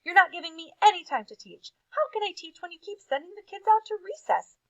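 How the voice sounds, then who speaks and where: A woman's voice: extremely high pitch, sing-song, a little fast, no room sound, highs cut off, pitched above the performer's own voice, exaggerated voice. A woman voicing an indignant, exasperated schoolteacher in a children's storybook, plaintive and emphatic. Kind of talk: children's storybook read-aloud.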